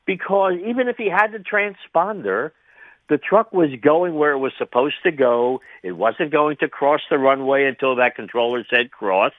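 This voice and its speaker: An older man giving his view as an interview guest over the phone, speaking in a halting, reflective way and repeating phrases for emphasis. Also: a little high, expressive, no room sound. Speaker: retired air traffic controller, interview guest. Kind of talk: spontaneous radio interview commentary. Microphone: phone call to a radio show. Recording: tinny, band-limited phone line.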